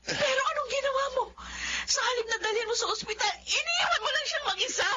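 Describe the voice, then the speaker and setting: A high-pitched Filipino woman in a radio drama, an earnest daughter burdened by guilt. She speaks with pleading emotion. The recording is dull, with faint noise. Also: brisk, shouting. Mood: anguished, pleading. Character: earnest, guilt-ridden grown daughter and former mistress. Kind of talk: Tagalog radio drama acting. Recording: muffled audio with faint noise.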